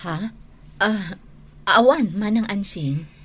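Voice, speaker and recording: A timid younger woman in an Ilocano radio drama. Her voice is breathy and she speaks slowly, with bashful, halting hesitations. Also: medium pitch, fairly bright tone. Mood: startled, evasive.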